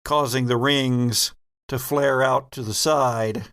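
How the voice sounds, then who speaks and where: Male game master for sci-fi horror roleplay: mid-pitched, slow and deliberate storytelling. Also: steady tone, fairly bright tone.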